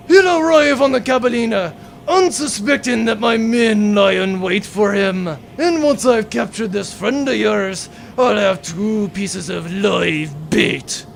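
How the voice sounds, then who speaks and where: A man's voice: sits high, gravelly, a little hiss or hum, exaggerated voice. A man playing a boastful, short-tempered space pirate captain in a comic sci-fi audio drama, bombastic and menacing. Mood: gloating.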